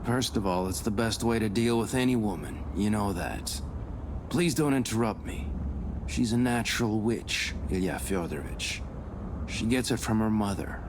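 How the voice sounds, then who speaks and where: A man acting an earnest, superstitious, deferential subordinate in a visual novel set in 19th-century Russia, speaking formally and in a measured way. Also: fairly low pitch, expressive, noisy background, natural voice. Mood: anxious, insistent.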